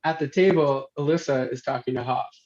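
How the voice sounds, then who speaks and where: A man's voice: medium pitch, fairly quick, a little mellow. Muffled male stage-direction reader. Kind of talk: scripted narration at a table read. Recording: muffled, dull video-stream audio.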